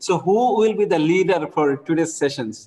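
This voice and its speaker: A man's voice: fairly high pitch, lively intonation, fast, warm tone, big-room sound. A man with a Bangladeshi accent, speaking in a friendly, urging way while leading a small online group discussion. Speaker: breakout group participant. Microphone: video call.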